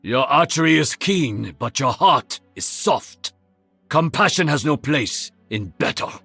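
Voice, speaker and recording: An actor playing a proud, stern master archer in a video game, with a Japanese accent and a formal, haughty delivery. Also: male voice, mid-range voice, expressive, measured pace, gravelly, pushed and bright, breathy edge, no echo, faint music behind, exaggerated voice. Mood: dismissive, proud. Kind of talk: video game character voice lines.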